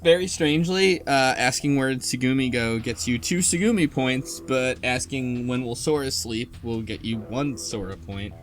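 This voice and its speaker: A man hosting a casual podcast discussion of a game story, talking in an animated, argumentative way. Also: medium pitch, moderate pace, few pauses. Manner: animated, argumentative, quotes characters while explaining. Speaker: podcast host. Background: music behind the voice.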